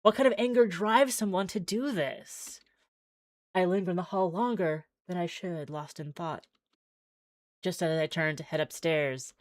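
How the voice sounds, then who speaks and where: A woman's voice: fairly low pitch, unhurried, dry recording, studio quality. A woman streaming a visual novel, reading the text aloud theatrically with wry, exclaiming asides.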